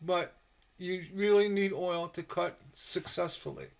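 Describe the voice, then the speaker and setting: A man teaching stained glass on a casual live stream, slightly hoarse from a sore throat, relaxed and rambling with many fillers. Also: high voice, measured pace. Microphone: computer mic on a live stream.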